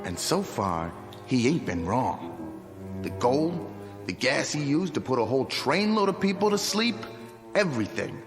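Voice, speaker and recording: Tough, animated gang henchman. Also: medium pitch, unhurried. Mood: firm, admiring. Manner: blunt, slangy, goading. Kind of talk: old-time style radio drama acting. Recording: long hall reverb. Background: dramatic music.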